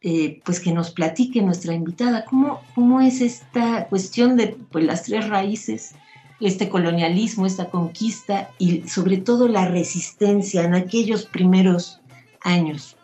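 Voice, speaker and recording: A woman host speaks in a conversational, halting way, as if working out each phrase while she goes. Her voice sits at a middle pitch, with faint music behind her. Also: dark tone. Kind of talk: conversational interview introduction with guest.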